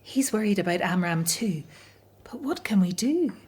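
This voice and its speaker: A woman's voice: medium pitch, expressive, moderate pace, darkish tone, husky. An actress in a radio drama playing a fearful, anguished young Hebrew mother, grave and despairing about life under slavery. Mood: resigned, worried.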